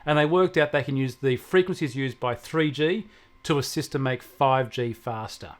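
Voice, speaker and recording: A man's voice: mid-range voice, normal speed. An Australian man talking conversationally as a technology commentator, explaining things emphatically and building his points through repetition. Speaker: technology commentator on a regular show.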